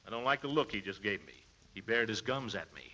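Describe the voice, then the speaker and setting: An actor playing a pompous, blustering middle-aged uncle with nervous chuckles in a 1940s radio comedy. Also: male voice, on the low side, expressive, fast, sharp tone. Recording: dull old-time radio recording.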